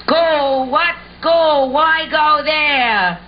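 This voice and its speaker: A man voicing a curious, naive young male dinosaur in a puppet show, questioning everything slowly. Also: extremely high pitch, full character voice. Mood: puzzled. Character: curious, ignorant young male dinosaur who wants attention. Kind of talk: puppet show character acting. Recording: dull lo-fi sound with faint noise.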